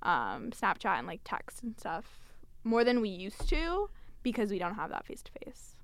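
A woman's voice: medium pitch, wildly swooping pitch, moderate pace, dry recording. A young woman co-hosting a podcast, chatting casually and animatedly, peppering her talk with "like" and laughing often. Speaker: podcast co-host. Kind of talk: casual group chat.

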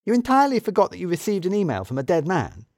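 A man voicing a brisk, sharp-witted woman office colleague with a pointed, wry manner in an audio story. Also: sits high, huge pitch swings, no echo, clean studio sound, pitched above the performer's own voice. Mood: incredulous.

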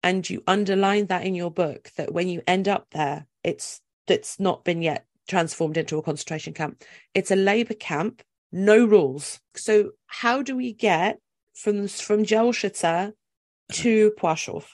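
A woman's voice: medium pitch, measured pace, a little mellow, no room sound, studio quality. A woman co-hosting a podcast, putting questions to a guest in a conversational way. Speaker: podcast co-host, interviewer.

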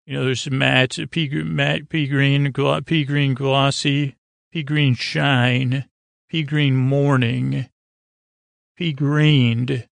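A man speaks in a creaky, fry-heavy voice at a middling pitch, recorded very dry. He hosts a bedtime show in soothing, drawn-out tones. His delivery halts, circles back and drifts into deliberately dull, goofy tangents. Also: little pitch movement, no room sound. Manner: hesitant, halting, circling back and trailing off. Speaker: podcast host. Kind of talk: rambling, meandering bedtime monologue to help listeners sleep.

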